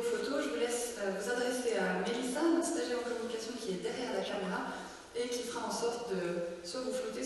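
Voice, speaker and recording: Woman addressing an echoey hall from afar. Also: fairly high pitch. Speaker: event co-moderator. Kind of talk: practical announcements to a live audience. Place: large echoey indoor room at a live event. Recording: echoey hall, far from mic, background noise.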